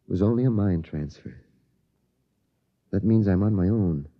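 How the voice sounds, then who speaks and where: A man's voice: sits low, normal speed. An actor playing a worried, determined middle-aged father in an urgent, earnest 1950s radio drama style. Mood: anguished. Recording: muffled old radio broadcast.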